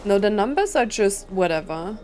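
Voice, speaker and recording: A woman gives casual, puzzled commentary as she plays through a video game, thinking out loud as she goes. Her voice sits at a middling pitch, and game music plays behind her. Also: lively intonation, normal speed. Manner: musing aloud, puzzled.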